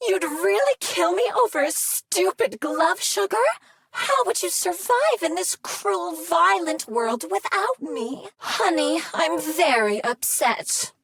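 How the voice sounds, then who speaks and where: Seductive female vending machine, game; high, unhurried, teasing purr, dry booth. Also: big pitch swings, fairly bright tone, no room sound, heavily acted. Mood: sultry. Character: flirty female machine, sultry vending unit. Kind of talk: video game character dialogue.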